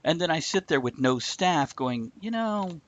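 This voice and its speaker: A man's voice: fairly high pitch, lively intonation, measured pace, highs cut off. A man hosting a casual live-streamed interview, bantering with jokes and poking fun at himself. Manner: joking, self-deprecating banter. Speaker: talk show host.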